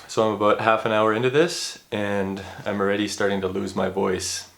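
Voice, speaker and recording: Fairly low male vlogger, casual and self-deprecating, stumbling over restarts. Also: steady tone, normal speed, gravelly, fairly bright tone. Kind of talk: casual vlog talk to camera. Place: room at home. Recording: roomy echo with faint music.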